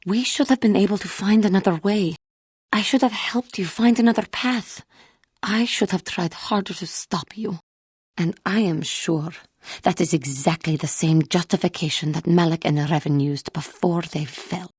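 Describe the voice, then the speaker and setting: A woman playing a wounded, earnest female alien Jedi in a video game, speaking in formal, measured phrases. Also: lowish voice, a little mellow, breathy edge, no room sound, clean studio sound. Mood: troubled, grave. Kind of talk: scripted video game character dialogue.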